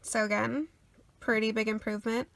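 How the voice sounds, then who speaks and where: A woman's voice: a little high, level tone, moderate pace, creaky, a bit bright. A sniffly woman rambling casually with lots of ums and uhs as she shows her sketchbook. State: sniffly after a cold. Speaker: artist showing her sketchbook.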